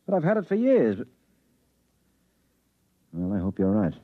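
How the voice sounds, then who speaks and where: A man's voice: fairly low pitch, wildly swooping pitch, measured pace. A man playing a harried, married investigator, skeptical but earnest, in 1950s radio drama style. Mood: rueful, puzzled. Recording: muffled vintage 1950s radio recording.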